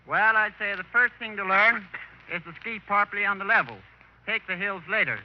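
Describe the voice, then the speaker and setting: A man's voice: high voice, a little fast, dull audio. A man giving scripted straight-man answers as a guest in a 1930s radio interview, on an old radio recording. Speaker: guest, skier.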